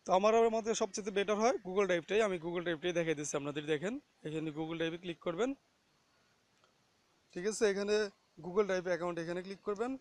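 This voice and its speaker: A man's voice: sits high, brisk. A man hosting a casual, step-by-step phone app tutorial, instructing listeners and often checking that they follow. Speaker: tutorial host.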